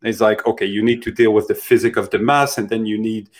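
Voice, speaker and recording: A man with a fairly low voice answers questions informally as an expert. His intonation stays flat and even. He sounds thoughtful, pausing as he works out each point. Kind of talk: casual unscripted Q&A answers.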